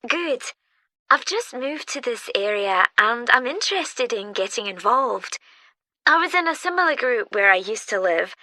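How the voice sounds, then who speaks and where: A bright, fairly high-voiced British woman as an eager newcomer who wants to volunteer. She is polite and inquiring in a clearly spoken, scripted everyday dialogue. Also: very animated, moderate pace, no room sound. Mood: keen, polite. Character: keen, friendly female newcomer asking to volunteer. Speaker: actor in a listening test dialogue.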